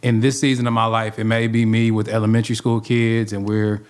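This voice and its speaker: A man's voice: fairly low pitch, little pitch movement, a little fast. A man in a casual panel discussion, explaining his views informally with conversational asides. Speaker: youth ministry worker.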